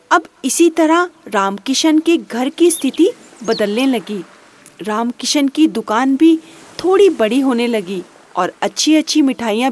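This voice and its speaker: A woman narrating a children's moral story in a simple storytelling manner. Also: fairly high pitch.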